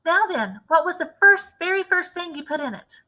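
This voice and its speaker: A woman voicing a wildly excited, impatient, domineering farmer father in a storybook read-aloud, exclamatory and emphatic. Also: very high-pitched, moderate pace, voice pushed up, heavily acted, poor audio. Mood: eager, insistent.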